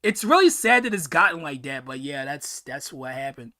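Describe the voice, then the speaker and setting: A man's voice: fairly high pitch, big pitch swings, normal speed, bright, forceful tone. A young man hosting a casual live-stream Q&A, answering viewer questions in a rambling way, often repeating words and restarting sentences.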